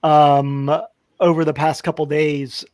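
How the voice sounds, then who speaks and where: A man's voice: on the high side, level tone, takes it slowly, darkish tone, clean tone, dry recording. A man chatting casually on a panel show, apologetic and restarting his sentences.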